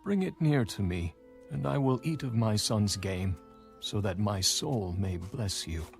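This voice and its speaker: A man acts out a solemn old patriarch from an audio Bible drama. The voice is low and gravelly, and he speaks very slowly, weighing each phrase. Music plays clearly underneath. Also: animated. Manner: slow, solemn, deliberate. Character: old dying father, solemn Bible patriarch giving blessings.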